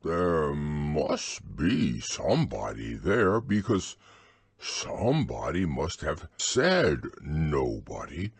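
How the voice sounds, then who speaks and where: A man voicing a slow, simple bear who loves food, speaking in a drawn-out, pondering way. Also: extremely low pitch, huge pitch swings, vocal fry, husky, no room sound, full character voice. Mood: puzzled.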